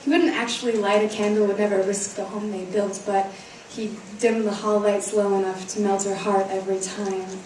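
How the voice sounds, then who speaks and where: A woman performing spoken word poetry in a dramatic, deliberate manner, drawing out words for emphasis. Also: mid-range voice, flat delivery, a little mellow. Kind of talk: slam poetry recitation. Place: echoey room, recorded at a distance. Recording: echoey room from far off, faint noise.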